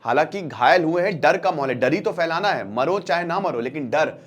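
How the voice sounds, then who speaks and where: A man's voice: on the high side, lively intonation, fairly quick. A man giving an informal current-affairs lecture as a storyteller, talking directly to listeners in colloquial language and repeating phrases for emphasis. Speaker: current affairs educator and channel presenter. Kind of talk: informal explainer lecture, storytelling with acted-out dialogue.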